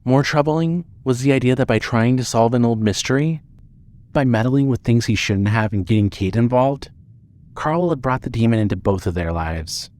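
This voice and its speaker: A man's voice: medium pitch, moderate pace, a little mellow. A man narrating a scripted audio drama and reading its credits as the narrator. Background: music under the voice.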